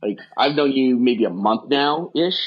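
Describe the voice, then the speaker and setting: A middle-aged man with a husky, slightly rough voice, talking fast in long rambling run-ons. He chats casually as a podcast guest. The audio is dull and lo-fi. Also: mid-range voice, expressive. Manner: rapid, rambling run-on talk. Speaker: guest, firefighter rehab specialist.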